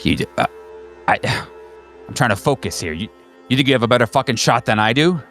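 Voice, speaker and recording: A man's voice: medium pitch, sing-song, fairly quick, a bit bright, faint music behind. A man playing an eager, trigger-happy sniper boss in a roleplaying game, terse, repetitive and domineering. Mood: irritated.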